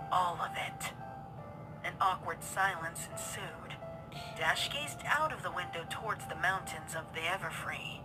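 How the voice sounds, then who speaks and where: A woman's voice: on the low side, rough recording. A woman reading scripted narration for a dramatic story. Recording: loud music bed behind voice. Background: music.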